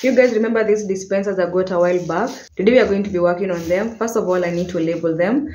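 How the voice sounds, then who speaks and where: A woman's voice: medium pitch, fast, mellow, faint music behind. A young Kenyan woman vlogging casually, chatting in English with Swahili words mixed in, speaking to a camera on a stand in a small apartment. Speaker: home vlogger. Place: small apartment room, indoors. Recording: echoey bare room, faint noise.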